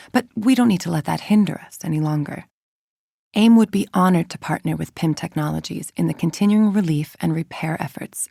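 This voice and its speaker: A woman's voice: low voice, gravelly, dark tone, slightly rough, dry recording, studio quality, full character voice. An actress playing a cold, calculating villainous woman scientist in a video game, speaking in a clinical, articulate, controlled and formal way. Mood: courteous, persuasive. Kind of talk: scripted game voice-over, audio log monologue.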